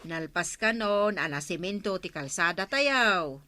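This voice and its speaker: A woman playing a brisk, sociable and bossy village councilwoman in a comedy radio drama. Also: fairly low pitch, lively intonation, bright, forceful tone, no echo.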